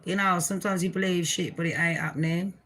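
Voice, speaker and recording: A woman with a deep voice hosting her own channel, giving blunt, swearing, chatty commentary. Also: steady tone, quick pace, a bit bright. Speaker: channel host. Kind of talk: casual reaction commentary.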